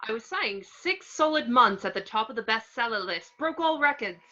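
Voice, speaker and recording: A woman's voice: fairly high pitch, animated, moderate pace, pushed and bright, highs cut off. A woman playing a cheery, vain male wizard professor in an amateur table read, breezy and self-admiring. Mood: pompous, cheery.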